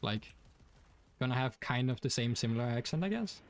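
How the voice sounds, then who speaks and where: A man's voice: mid-range voice, animated, normal speed. A young man from Denmark with a Danish accent, chatting casually while streaming a game, rambling with many fillers. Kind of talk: casual gaming stream chat.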